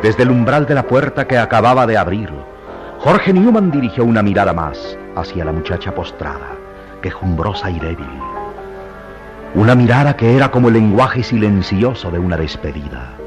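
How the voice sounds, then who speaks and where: A man narrating a mystery radio drama in a grave, deliberate, literary style. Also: lowish voice, animated, over music, close to an everyday voice. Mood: solemn, dramatic. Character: solemn male narrator of a mystery serial.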